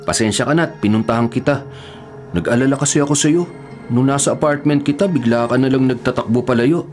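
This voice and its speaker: A man's voice: medium pitch, a little fast, darkish tone, faint music behind, lightly acted. An actor playing an earnest, troubled young male student in a Filipino radio horror drama. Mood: worried, earnest. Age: young adult.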